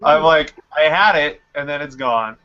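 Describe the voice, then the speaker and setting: Bright-voiced man on a lo-fi mic. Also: fairly high pitch. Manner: quick, scattered, talks while working. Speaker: stream host. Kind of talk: casual group chat. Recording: lo-fi mic with faint noise.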